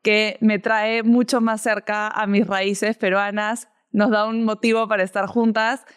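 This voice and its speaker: A woman's voice: medium pitch, flat delivery, measured pace, smooth. A woman, co-hosting a podcast and explaining things in an upbeat way. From Peru. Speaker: podcast co-host. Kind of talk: casual podcast chat.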